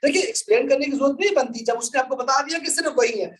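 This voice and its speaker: A woman's voice: high-pitched, quick pace, recorded from a distance, slight background noise. A woman teaching law to students in a didactic way, repeating her points and mixing in English with her Hindi-Urdu. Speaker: law lecturer. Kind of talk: live lecture to students, explaining and reading out articles.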